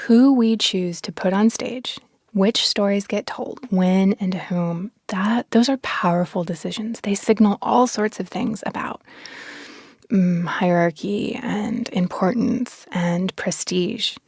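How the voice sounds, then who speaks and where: A woman's voice: mid-range voice, slow pace, darkish tone, slightly rough, no echo, clean studio sound. A woman speaking as a guest in a conversational interview, explaining her ideas in a reflective, emphatic way. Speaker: interview guest, science storytelling advocate.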